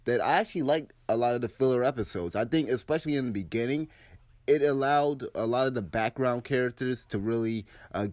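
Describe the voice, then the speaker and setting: A man talking casually about an anime, a little hoarse from a cold and sore throat, rambling with frequent restarts. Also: mid-range voice, a little mellow, no room sound. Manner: rambling, many restarts and fillers. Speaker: anime commentary host. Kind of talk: casual video commentary.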